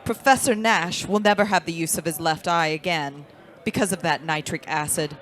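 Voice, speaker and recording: A woman's voice: fairly low pitch, normal speed, a bit bright, natural voice. A woman playing a firm, composed small-town doctor in an old-style radio drama, speaking formally and with measure. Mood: grave, protective.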